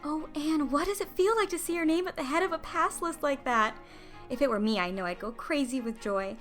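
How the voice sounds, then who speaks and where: A woman playing an excitable, devoted teenage best friend in a dramatised audiobook, talking in a breathless gush. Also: sits high, brisk, faint music behind. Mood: excited, gushing. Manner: breathless, gushing. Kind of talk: dramatised audiobook character acting.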